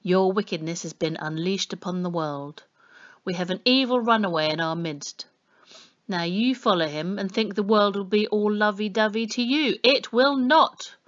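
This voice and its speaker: A woman's voice: mid-range voice, moderate pace, close to an everyday voice. Storybook policeman performed by a woman: pompous, sneering, mock-polite menace, dull-sounding recording. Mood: scornful. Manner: mock-polite, snapping, sarcastic.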